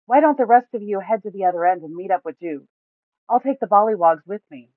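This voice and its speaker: A woman voicing an eager, caring adventurer who speaks earnestly and excitably. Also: mid-range voice, fairly quick, no echo, phone-quality sound, pitched above the performer's own voice, natural voice.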